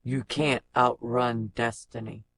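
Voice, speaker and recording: A performer as a slow, grim robotic cyborg. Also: flat delivery, a bit bright, no room sound. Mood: grim, urgent. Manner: terse, dramatic line delivery. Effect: pitch-shifted robotic effect. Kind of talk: scripted character voice-over demo.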